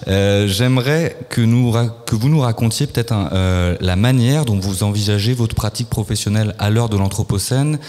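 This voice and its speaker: A low-voiced man hosting a live radio interview, formal and measured, pausing on the odd filler. His voice rings out with a long hall reverb. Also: darkish tone. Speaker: radio host. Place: festival venue, live broadcast.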